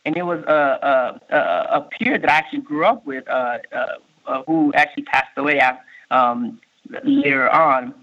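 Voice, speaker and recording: A man in his thirties, born in Haiti and raised in Miami, with a Miami accent, answering interview questions in a halting way, full of fillers and restarts. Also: medium pitch. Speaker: state workforce official, guest. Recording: muffled lo-fi recording.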